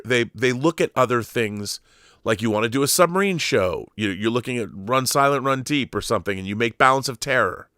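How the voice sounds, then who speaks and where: A man's voice: mid-range voice, a bit bright, dry recording, studio quality. A man hosting a casual, opinionated pop culture talk, rambling and digressive, with emphatic, sweary asides. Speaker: host answering live viewer questions.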